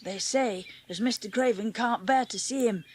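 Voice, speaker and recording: A woman voicing a warm-hearted, plain-spoken young country character in a dramatised audiobook. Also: a little high, normal speed, slight background noise, lightly acted. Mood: earnest, kindly. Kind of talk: audiobook character acting in rustic dialect.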